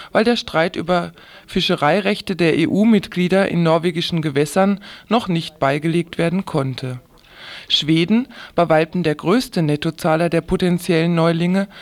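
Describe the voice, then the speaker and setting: A man reading scripted news on the radio. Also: fairly high pitch.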